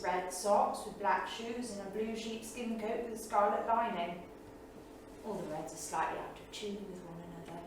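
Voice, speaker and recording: A woman's voice: mid-range voice, lightly acted. A woman playing an eccentric, unstable bohemian wife, confessing frankly in a staged monologue. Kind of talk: staged dramatic monologue reading. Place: small studio theatre, staged reading. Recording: echoey theatre, distant mic, faint noise.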